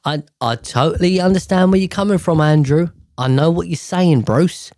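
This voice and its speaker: A man's voice: on the high side, moderate pace, darkish tone, no echo, studio quality. A man ranting casually to camera, profane and exasperated, rambling with sighs and restarts.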